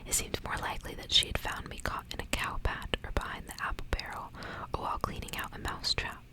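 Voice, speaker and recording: Whispering woman reading ASMR. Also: a little fast. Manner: whispering throughout, soft and breathy. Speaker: ASMR reader and channel host. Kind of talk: whispered ASMR storybook reading with casual asides.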